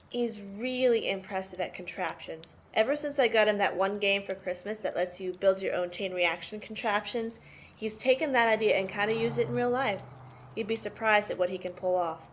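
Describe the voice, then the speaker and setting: A woman acting as a protective big sister with a medium-pitched voice. She is tough and matter-of-fact, explaining things like an interviewee in an amateur audio play. There is some noise on the recording. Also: a little fast, close to an everyday voice. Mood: explanatory. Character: protective, tough sister mothering five troubled orphaned brothers. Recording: noisy amateur home recording.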